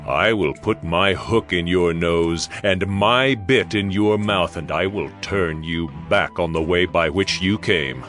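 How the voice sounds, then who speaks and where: A man performs a stern prophet in an audio scripture drama. His low voice proclaims judgment with solemn authority. Soft music runs behind it. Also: slow pace, hardly any pauses. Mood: stern proclamation. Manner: solemn, declamatory.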